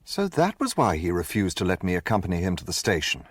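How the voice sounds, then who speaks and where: Radio drama: polite, naive English companion and narrator, English accent, lowish animated voice, close dry sound. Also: male voice, fast talker, husky, no room sound, exaggerated voice. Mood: rueful. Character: earnest, naive English gentleman, detective's loyal companion. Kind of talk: radio drama dialogue and first-person narration.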